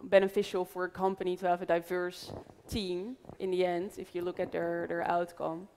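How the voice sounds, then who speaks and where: A woman's voice: lowish voice, level tone, normal speed, darkish tone, slight background noise. A young woman moderating a panel, asking questions hesitantly with many fillers. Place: large hall with a live audience. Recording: long hall reverb.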